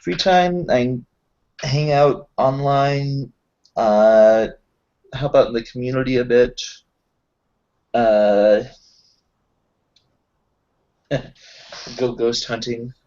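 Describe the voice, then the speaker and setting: Halting man in dull, lo-fi audio. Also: mid-range voice, a little mellow. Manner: halting, pausing mid-thought. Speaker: tabletop roleplaying player. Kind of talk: casual chat. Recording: dull lo-fi voice recording.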